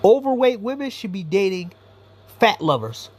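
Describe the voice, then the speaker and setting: A man's voice: high voice, sing-song, moderate pace, a little mellow, slight background noise. A man giving a blunt, profane, rambling commentary monologue as a YouTuber.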